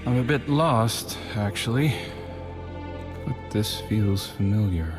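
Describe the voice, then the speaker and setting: An actor playing an earnest, gentle, uncertain wanderer in a video game, with a sincere, understated delivery. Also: male voice, lowish voice, slow, music in the background. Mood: hesitant, earnest. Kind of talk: scripted video game dialogue.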